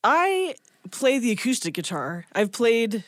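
A woman's voice: on the high side, sing-song, measured pace, dry recording, clean studio sound. A woman speaking as a guest musician and teacher, telling a personal anecdote in a casual, chatty, self-deprecating way. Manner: chatty, self-deprecating, breaks into small laughs. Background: guitar music.

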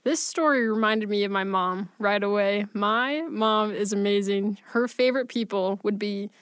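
Woman chatting in a studio, really smooth clear voice, no room sound. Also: mid-range voice, moderate pace, a bit bright, dry recording. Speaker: audio engineer, studio guest. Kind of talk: casual studio chat. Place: radio studio.

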